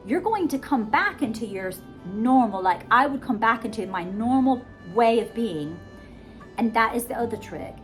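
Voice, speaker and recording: Woman, higher-pitched voice, adopted British accent, rambling casual delivery, emphatic, light music behind. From United States, born in Georgia. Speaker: vlogger, self-help coach. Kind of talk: casual monologue with self-help teaching.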